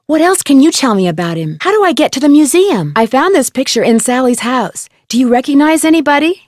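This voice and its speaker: A young American woman playing a curious, polite, plucky amateur detective in an adventure game, speaking in a clear, earnest way. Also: fairly high pitch, a little mellow, no room sound, clean studio sound, natural voice. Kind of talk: scripted adventure game voice acting.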